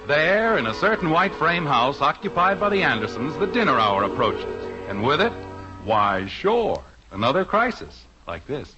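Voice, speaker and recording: A man's voice: a little high, very animated, normal speed. A man with an American accent announcing and narrating a vintage radio show in a theatrical, folksy style. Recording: muffled vintage radio recording over music. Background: music.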